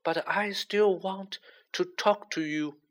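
A man performs a wise old wizard headmaster from a storybook. The voice is raised in pitch and very slow, with soft, deliberate phrasing. He sounds gentle and learned. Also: steady tone. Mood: gentle, grave. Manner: measured, soft-spoken, deliberate.

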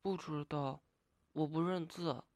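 An actress playing a meek, earnest young opera apprentice in a radio drama, polite, youthful and eager in manner. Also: female voice, low voice, fairly even intonation, measured pace, darkish tone, no room sound, clean studio sound.

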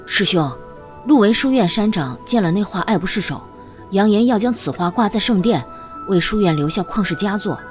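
Woman as an earnest, mid-pitched young disciple. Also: lively intonation, moderate pace, darkish tone, lightly acted. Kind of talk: Chinese period audio drama acting. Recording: music behind the voice.

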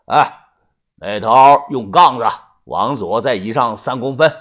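A man's voice: medium pitch, a bit bright, voice pushed down. Man acting a commanding village feng shui master. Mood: directing. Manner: ritual, drawn-out calling and bossy instructions.

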